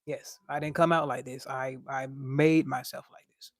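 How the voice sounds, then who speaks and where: A man's voice: medium pitch, steady tone, normal speed, no echo, studio quality. A young man with a light voice, chatting casually on a livestream and reading out chat in a laid-back, slangy way.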